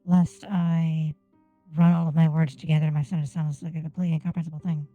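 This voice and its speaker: A woman whose first language is Japanese, chatting on a game stream and enunciating each word deliberately into a desk microphone. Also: low-pitched, little pitch movement, dark tone, faint music behind. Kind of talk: casual gameplay stream chat. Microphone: desk microphone on an arm.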